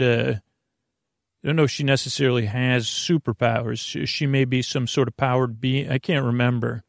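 Creaky-voiced man rambling softly through bedtime talk. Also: medium pitch, dry recording, studio quality. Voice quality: creaky, gravelly. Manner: meandering, stammering, loses his thread. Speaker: host of a bedtime sleep podcast.

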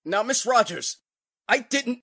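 A man playing a proper, wordy gentleman in a 1940s radio drama, with genteel old-fashioned exclamations. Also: very high voice, animated, unhurried, dry recording, studio quality, full character voice. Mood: flustered.

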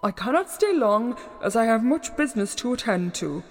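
A woman voicing a courteous, weary and anxious male messenger god in a storybook, formal, earnest and deliberate. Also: on the high side, very animated. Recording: long reverb, music underneath.

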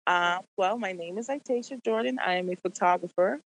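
A woman's voice: medium pitch, moderate pace, poor audio. A woman from Boston, a photographer and guest, talking in a friendly way. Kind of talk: casual conversation.

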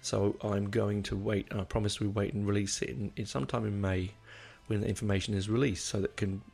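A man hosting a music podcast in a casual, rambling way, his voice croaky from a cold. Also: fairly low pitch, steady tone, brisk, a little mellow. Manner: rambling, many ums and restarts. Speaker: podcast host.

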